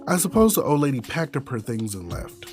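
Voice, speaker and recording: A man reading a visual novel aloud as a streamer, with dramatic, deliberate phrasing. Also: medium pitch, sing-song, a little mellow. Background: music.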